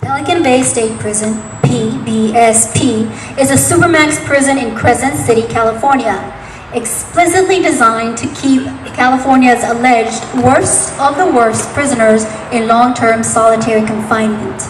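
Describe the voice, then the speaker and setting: High-voiced woman narrator in an echoey hall. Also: moderate pace. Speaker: narrator in a dramatic reading. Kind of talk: scripted narration. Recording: echoey reverberant room, clearly noisy.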